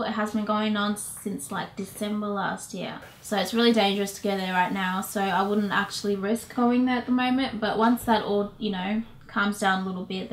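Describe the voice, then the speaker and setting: A woman in her twenties from South Sudan, a native Nuer speaker, chatting upbeat in a casual question-and-answer vlog. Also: medium pitch. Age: 20s. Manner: chatty, upbeat, fillers like "you guys". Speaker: vlogger, co-host with partner. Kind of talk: casual Q&A chat. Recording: echoey room with faint noise.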